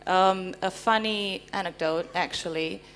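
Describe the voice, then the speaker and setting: A woman from Romania speaks in a fairly low voice as a guest on a live panel. She explains in a conversational way, posing questions as she goes. There is some background noise and a faint hum behind her. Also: fairly even intonation, unhurried, a bit bright. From Transylvania, Romania. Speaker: invited panel speaker, company founder. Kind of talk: panel interview, explanatory anecdotes. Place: live conference panel before an audience.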